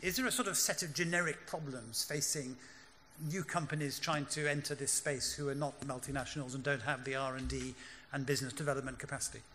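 A man moderating a conference panel from a lectern, brisk and directive with a dry wry touch. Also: mid-range voice, fairly even intonation, bright, forceful tone. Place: large conference hall stage. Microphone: lectern microphone. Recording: hall reverb, faint room hum.

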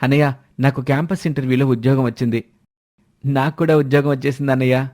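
A man's voice: medium pitch, fairly even intonation, quick pace, warm tone, natural voice. A man voicing an eager, respectful younger brother who talks earnestly in a family story. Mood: excited.

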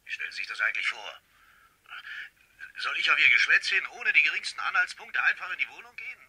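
An actor playing a brusque, by-the-book police chief inspector with a rough, piercing voice in a 1970s radio drama. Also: male voice, fairly high pitch, very animated, fast talker, pushed and bright, dry recording, full character voice, low-quality recording. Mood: irritated. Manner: brusque, exasperated.

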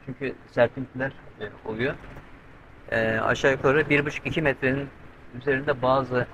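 A man's voice: medium pitch, steady tone, moderate pace, fairly bright tone, a little hiss or hum, poor audio. A middle-aged man vlogging alone on his sailboat at sea, chatting to the camera and explaining step by step, tense as he watches the weather. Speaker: solo sailing vlogger. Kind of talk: casual vlog talk to camera. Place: covered cockpit of a sailboat at sea, outdoors. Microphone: handheld camera with mic on a pole.

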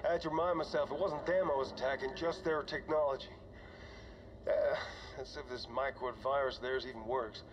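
An actor playing a weary, older starship commander, speaking in a halting, conversational way for a science fiction game audio log. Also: male voice, mid-range voice, fairly even intonation, natural voice. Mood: relieved, awed. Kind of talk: dramatic audio log acting. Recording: dull, noisy cockpit log recording.

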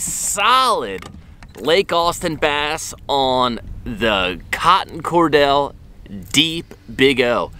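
A man's voice: on the high side, sharp tone, slight background noise. A middle-aged man hosting a fishing vlog in casual, enthusiastic, folksy talk, recorded out on an open boat. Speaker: fishing show host.

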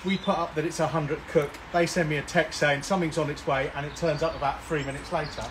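Bloke in his 50s, sniffly with a cold, rambling live-cook host in a garden, slightly high voice, outdoor noise behind. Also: steady tone, fast. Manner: chatty, jokey, rambling with ums. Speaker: barbecue cooking host. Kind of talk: casual live cooking stream. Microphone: phone camera held by another person. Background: outdoor ambience.

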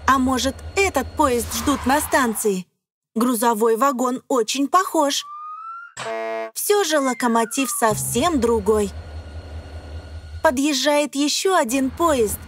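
A woman reading scripted educational narration for young children, phrasing deliberately and putting questions to the listener. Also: high-pitched, slight background noise. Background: music.

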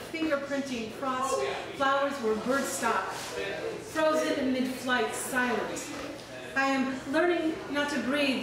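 A middle-aged American woman reading her poems slowly at an open mic, with chatty asides between them. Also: high voice. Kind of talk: poetry reading. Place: small cafe stage at night. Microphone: stand mic, camera from across the room. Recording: echoey room, distant camera mic, crowd. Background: audience in the cafe.

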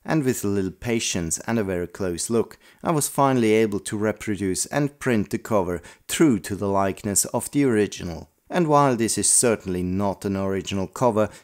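Guy with a low voice doing a narrated collector video. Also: darkish tone. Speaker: video host, audio play collector. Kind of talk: scripted retrospective narration.

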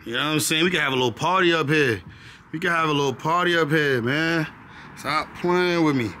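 Young man, casual slangy tour host, slightly high voice, echoey rooms with steady noise. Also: fairly quick. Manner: laid-back, repeats phrases, keeps asking "you know what I'm saying". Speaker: vlogger giving an apartment tour. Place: rental apartment, indoors then rooftop. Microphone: handheld camera held close.

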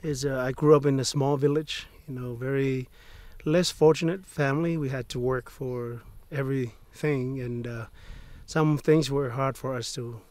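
A middle-aged man from Samoa with a Samoan accent, telling his story slowly and reflectively in a documentary interview outdoors on a boom mic. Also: steady tone, no echo. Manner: soft-spoken, halting, reflective storytelling. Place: outdoors in a rural field. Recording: music underneath.

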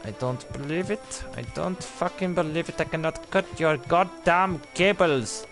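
A young man streaming a game, putting on a mock German-style accent that drifts into a made-up one, swearing and shouting in excitement. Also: fairly high pitch, unhurried, music in the background. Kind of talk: live let's-play commentary.

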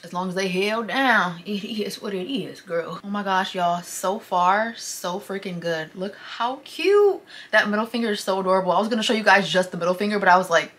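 Bright-voiced chatty young woman vlogger. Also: medium pitch, moderate pace, hardly any pauses, slight background noise. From Michigan. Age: 20s. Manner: chatty and gushing, says y'all often. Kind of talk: casual chat to camera. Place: parked car.

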